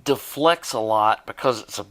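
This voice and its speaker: A man's voice: fairly low pitch, moderate pace, a bit bright, a little hiss or hum. A man giving a casual, unscripted narration of a repair, thinking aloud in a plainspoken way as he works. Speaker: hobbyist demonstrating a tape deck repair.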